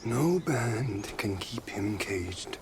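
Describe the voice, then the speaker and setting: Casting: solemn game warrior, weary and grave, lowish pitch, unhurried breathy delivery. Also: male voice, lively intonation, heavily acted. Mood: solemn, resigned. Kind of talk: dramatic video game acting. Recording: noisy ambience over the voice.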